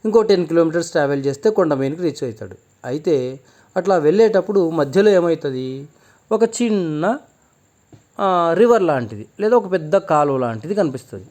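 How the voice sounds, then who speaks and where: A man's voice: fairly high pitch, lively intonation, a little fast, darkish tone. A man telling a children's moral story informally, explaining as he goes and mixing in English words. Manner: conversational, explanatory, mixes in many English words.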